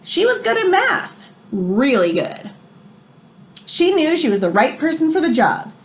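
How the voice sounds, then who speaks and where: A woman's voice: sits high, wildly swooping pitch, unhurried. A woman teacher reading a children's nonfiction book aloud, explaining as she goes and stressing key phrases. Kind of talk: expressive read-aloud of a children's nonfiction book with brief asides.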